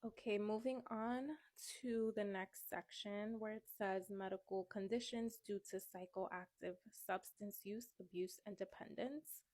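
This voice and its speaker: A woman's voice: medium pitch, steady tone, a little slow, hardly any pauses, darkish tone, dry recording. A young woman, a little congested from a cold, casually walking through medical coding guidelines step by step. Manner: chatty, explains step by step. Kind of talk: casual study walkthrough. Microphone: phone camera.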